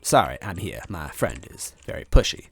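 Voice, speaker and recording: A man's voice: low-pitched, big pitch swings, moderate pace, gravelly, fairly bright tone, breathy edge, exaggerated voice. A man voicing a kind, anxious story hero looking for his brother, hesitant and earnest. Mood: apologetic.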